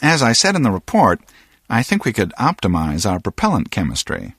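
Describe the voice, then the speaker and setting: Pedantic scientist for a game, husky, pitch swings all over, formal, dry studio. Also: male voice, huge pitch swings, brisk, fairly bright tone, no room sound, heavily acted. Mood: earnest, formal. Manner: formal, self-satisfied. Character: earnest, pedantic male rocket scientist. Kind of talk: video game dialogue.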